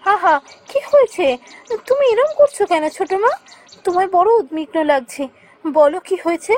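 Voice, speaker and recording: A woman's voice: very high-pitched, sing-song, normal speed, pitched above the performer's own voice. A woman playing a brave, dutiful young cartoon princess who speaks in an earnest, reassuring way. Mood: concerned.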